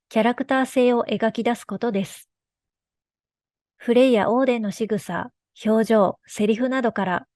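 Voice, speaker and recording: A woman's voice: fairly high pitch, mellow, no room sound. A woman giving a scripted technical presentation about her studio's work. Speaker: game studio developer presenting a workflow.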